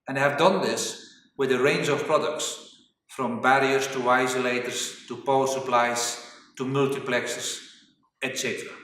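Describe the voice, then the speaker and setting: A man in his fifties from Belgium, a native Flemish speaker with a Flemish accent, presenting a corporate webinar in a deliberate, explanatory way. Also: mid-range voice, fairly bright tone. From Belgium (Flanders). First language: Flemish Dutch. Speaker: webinar presenter, safety engineering trainer. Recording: very echoey reverberant room, distant mic.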